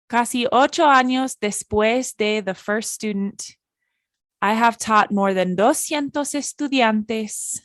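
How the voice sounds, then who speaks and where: A woman in her thirties, a Spanish teacher and podcast host, reading a bilingual story slowly and deliberately for learners. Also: medium pitch, no room sound. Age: 30s.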